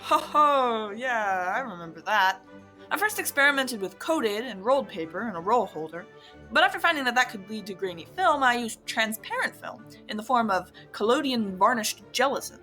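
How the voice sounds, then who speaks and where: Woman as radio-play male inventor, bright voice, highish, thoughtful, quietly proud, faint music behind. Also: expressive, moderate pace. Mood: reflective, genial. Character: genial male inventor and entrepreneur, proud, modest. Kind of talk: scripted radio drama acting.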